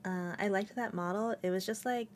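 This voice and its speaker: A woman's voice: mid-range voice, moderate pace, slight background noise. A young adult woman streaming from home, chatting casually and giggling often between rambling thoughts. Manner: rambling and giggly, with many fillers. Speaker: VTuber streamer. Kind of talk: casual live-stream chat. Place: at home with a cat on her lap.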